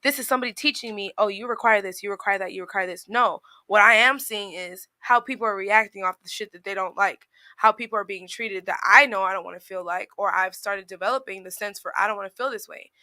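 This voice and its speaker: A woman's voice: mid-range voice, quick pace, sharp tone, no echo. A woman guest on a panel, giving personal opinions in an emphatic, conversational way and correcting herself as she goes. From outside the United States. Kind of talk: casual debate, personal opinion.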